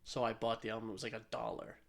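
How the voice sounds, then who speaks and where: A man's voice: lowish voice, monotone, rapid-fire, gravelly. Rambling man bantering casually. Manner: rambling, profane, self-deprecating. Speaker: podcast co-host.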